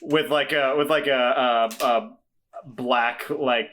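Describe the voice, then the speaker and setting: A man with a bright, crisp-edged voice at a medium pitch talks as a player in a tabletop game. His delivery is casual and hesitant as he pieces ideas together aloud. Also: steady tone, slow pace, slight background noise. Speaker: player in an actual-play podcast.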